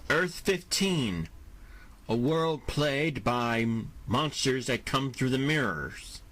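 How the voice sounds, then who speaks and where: A man's voice: medium pitch, expressive, slow, fairly bright tone, slight background noise. A man narrating a scripted action audio drama as its storyteller. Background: music.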